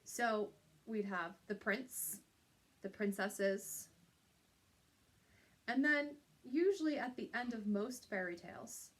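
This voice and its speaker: A woman with a somewhat high voice speaks as a teacher to young pupils. She explains in an instructional way, point by point, and often adds short tag questions to check understanding. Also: animated, slow pace, long pauses.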